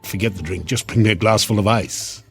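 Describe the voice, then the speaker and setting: An actor playing a sardonic, chatty devil in an audio drama, theatrical and mocking, with a husky voice. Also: male voice, lowish voice, brisk, a bit bright, faint music behind. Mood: sly, dismissive. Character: sardonic, wheedling male devil, casual and mocking.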